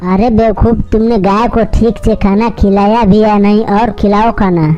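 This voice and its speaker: A woman's voice: medium pitch, fairly even intonation, moderate pace, dark tone, highs cut off, faint music behind, voice pushed down, lightly acted. A woman voicing a greedy, scheming male bird landlord in a cartoon, with a sly, domineering manner. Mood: angry.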